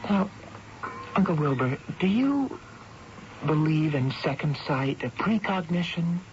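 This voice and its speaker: An actress playing a shaken, bewildered estranged wife in a radio drama, with a hesitant, dramatic delivery. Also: female voice, low-pitched, animated, measured pace, noisy background. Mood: uneasy, bewildered. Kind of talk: radio drama acting and narration. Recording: dull lo-fi radio broadcast.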